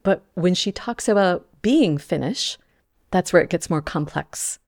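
A woman's voice: lowish voice, lively intonation, unhurried, a little mellow. A woman reporter telling a story in a conversational podcast style.

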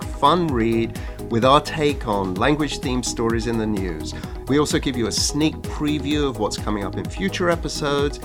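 A man hosting a podcast in a conversational style. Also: medium pitch. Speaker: podcast host.

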